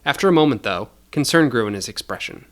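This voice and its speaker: A man's voice: medium pitch, animated, quick pace, fairly bright tone. A man narrating scripted fiction as a storyteller, in a dramatic style.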